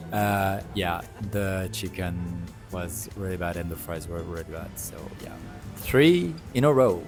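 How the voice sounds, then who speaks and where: A young man with a French accent chats through a casual food vlog, wandering off with fillers and little self-corrections. His voice sits low and deep. Clear music plays behind him the whole time. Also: animated, takes it slowly. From France. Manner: chatty, rambling, with many fillers and self-corrections. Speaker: food vlogger. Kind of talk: casual travel vlog narration.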